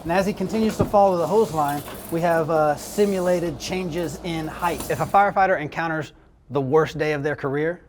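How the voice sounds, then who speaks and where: A man's voice: fairly high pitch, moderate pace. Male training instructor with faint background noise. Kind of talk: explaining a live training drill.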